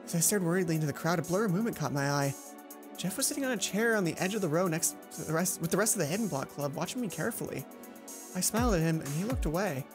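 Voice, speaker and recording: A woman reading a visual novel aloud as a let's play host, expressive and given to puzzled asides. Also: lowish voice, quick pace, over music. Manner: expressive storytelling, self-questioning asides. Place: indoor gaming commentary recording with loud game music behind.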